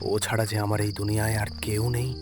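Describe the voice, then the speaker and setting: A man's voice: lowish voice, little pitch movement, a little fast, natural voice. Distressed poor boatman played by a man. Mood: worried. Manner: pleading, distressed. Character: innocent, poor, devoted boatman father. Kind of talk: melodramatic cartoon story acting. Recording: loud music behind the voice.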